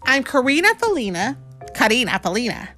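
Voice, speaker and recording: A woman's voice: high voice, big pitch swings, a little fast, sharp tone, faint music behind, voice pushed up, exaggerated voice. Woman voicing a haughty, greedy monster she-cat. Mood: boastful. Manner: boastful, dangerously sweet.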